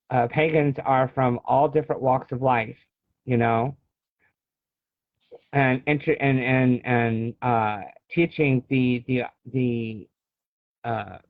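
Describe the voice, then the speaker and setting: A man from the United States giving a casual interview as an author, often halting and searching for words, over thin phone-like call audio. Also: mid-range voice, dry recording.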